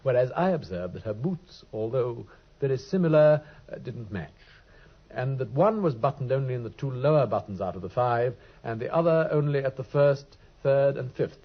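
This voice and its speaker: An actor playing a brilliant, dryly teasing detective in a vintage radio drama, speaking with crisp, precise wit. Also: male voice, medium pitch, animated, moderate pace. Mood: wry. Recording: dull old radio recording.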